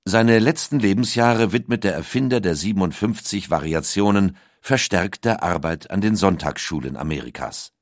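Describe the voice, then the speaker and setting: A man's voice: deep voice, lively intonation, normal speed, few pauses, no room sound, clean studio sound. A man reading a scripted documentary audiobook as its narrator. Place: dry recording studio.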